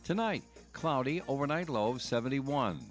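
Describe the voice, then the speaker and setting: A man's voice: mid-range voice, expressive, moderate pace, faint music behind. A man reading a weather forecast, stressing each day's name.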